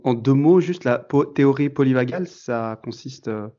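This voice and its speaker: A male podcast host at an ordinary middle pitch, asking questions in a hesitant, halting way with many fillers and restarts. The audio is dull and lo-fi. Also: mellow. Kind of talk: casual interview.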